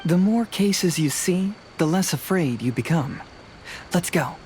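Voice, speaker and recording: An actor playing a confident, fearless young male detective in a video game, reasoning in a measured, analytical way. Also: high-pitched, lively intonation, darkish tone, slight background noise. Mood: confident, unworried. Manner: measured, reasoning, self-assured.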